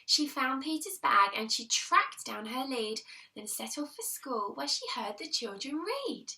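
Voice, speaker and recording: A woman reading a children's picture book aloud in an expressive, deliberate storytelling manner, leaning on the rhymes. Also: sits high, distant mic. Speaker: primary school teacher reading aloud.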